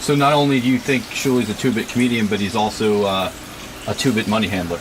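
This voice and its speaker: A male interviewer with a fairly low voice poses needling questions with a deadpan delivery. The recording has clear background noise. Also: fast. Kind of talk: probing casual interview. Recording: clearly noisy, ambient sound.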